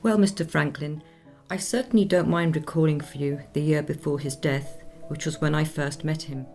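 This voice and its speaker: An actress playing a dignified nineteenth-century Swedish opera singer in a radio play, speaking in a measured, formal, reflective way. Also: female voice, low voice, fairly even intonation, a little mellow, soft background music.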